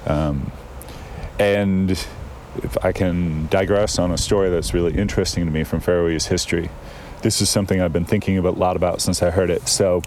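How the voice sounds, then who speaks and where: Deep-voiced male guest, rambling storyteller recorded outdoors, faint traffic behind him. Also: fairly even intonation, moderate pace, a little mellow. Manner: thoughtful, digressive storyteller with frequent fillers. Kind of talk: casual conversation. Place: outdoors in a town.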